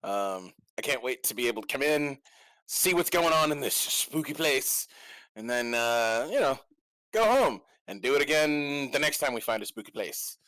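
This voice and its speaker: A man speaks loudly and eagerly, rushing his words in bursts. His voice is smooth and the sound is dry, with no room echo. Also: medium pitch, big pitch swings. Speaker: player.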